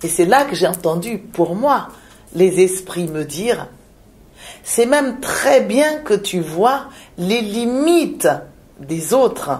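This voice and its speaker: A woman from Madagascar, a shaman, speaking in a reflective interview with frequent pauses, hesitations and repeated words. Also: mid-range voice, very animated, darkish tone. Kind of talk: reflective spiritual interview talk.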